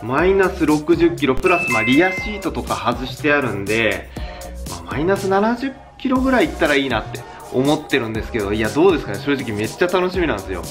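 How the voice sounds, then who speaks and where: A man's voice: mid-range voice, very animated, quick pace. A man in his thirties, a car channel host, explaining things casually and hands-on to camera in a garage. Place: garage workshop, indoors. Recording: music behind the voice. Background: background music.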